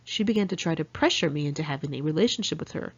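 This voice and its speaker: A woman's voice: sits low, animated, fast, husky, muffled recording. An American woman narrating her own memoir in a candid, conversational, salty-tongued way. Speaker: author reading own memoir. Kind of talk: first-person audiobook narration.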